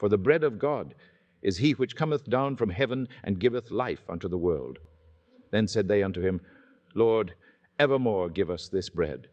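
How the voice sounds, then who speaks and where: A man's voice: lowish voice, animated, normal speed, darkish tone, dull audio. A man reading scripture aloud in a solemn, declamatory manner, in the dramatic style of old-time radio. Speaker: scripture reader.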